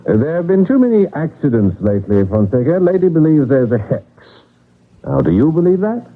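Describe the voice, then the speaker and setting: An actor playing a patronising, sceptical estate master in a radio mystery drama. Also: male voice, a little high, very animated, fairly quick. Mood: condescending. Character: dismissive, condescending estate master. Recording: tinny, narrow-band old radio recording.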